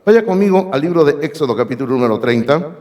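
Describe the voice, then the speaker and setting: A hoarse man preaching a sermon as pastor, emphatic and speaking directly to his congregation in a church sanctuary. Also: fairly high pitch, expressive, fast, a little mellow. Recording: long reverb of a church hall.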